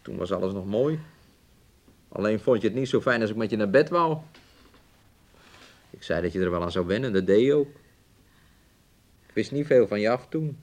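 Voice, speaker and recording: A man's voice: on the low side, expressive, moderate pace, long pauses, darkish tone, slight background noise, natural voice. Man acting a possessive, needling man. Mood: coaxing, controlling. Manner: coaxing, needling, repetitive questions, refers to himself in third person. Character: possessive, controlling man keeping his sick fiancée hidden away. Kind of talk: Dutch radio play acting.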